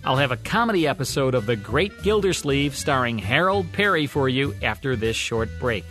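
A man's voice: medium pitch, very animated, moderate pace. Male host for scripted radio narration, mid-range voice, music bed underneath.

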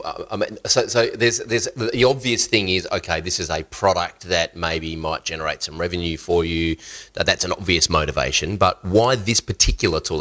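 Very deep-voiced Australian man. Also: fairly bright tone. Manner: informal, halting, circles back to rephrase questions. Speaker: interviewer, host. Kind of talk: casual conversational interview.

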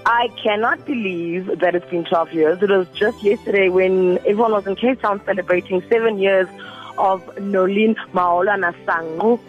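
A woman giving a warm, teasing tribute in a recorded voice message played on air. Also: mid-range voice, fairly even intonation, moderate pace. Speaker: friend sending a voice message. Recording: dull clip played on air with music behind.

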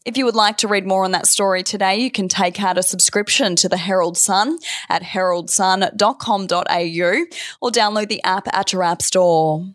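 A woman's voice: medium pitch, normal speed, dry recording, studio quality. An Australian woman presenting scripted news headlines as a newsreader.

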